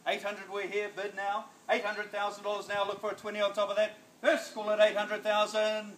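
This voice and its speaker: A middle-aged New Zealand man works a backyard auction, his fairly high voice chanting bids in brisk repeats and coaxing buyers along. He is picked up by a phone camera at a distance outdoors, with an echoey ring and a faint hiss of noise behind him. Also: monotone, a bit bright. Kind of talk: rapid auction calling.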